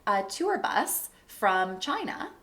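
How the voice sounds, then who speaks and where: A woman's voice: medium pitch, big pitch swings, slow pace, a bit bright. Host: Canadian woman, mid-range voice, thoughtful and encouraging interviewer. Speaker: podcast host. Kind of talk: casual interview chat.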